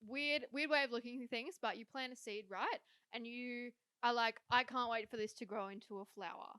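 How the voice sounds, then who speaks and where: A young woman talks through a casual podcast chat, full of fillers and offhand swearing. Her voice is smooth and clear, recorded close and dry with no room sound. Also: on the high side, normal speed, fairly bright tone, dry recording. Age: young adult. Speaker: podcast co-host.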